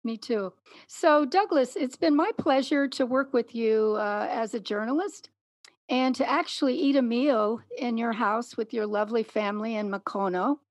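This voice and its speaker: Woman, podcast host, fairly high pitch, measured and clear, dry sound. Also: no echo. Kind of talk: interview.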